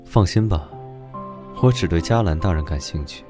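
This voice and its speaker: An actor playing an arrogant, sardonic duke in an animated drama, speaking in a cool, taunting manner. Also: male voice, deep voice, normal speed, over music. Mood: smug.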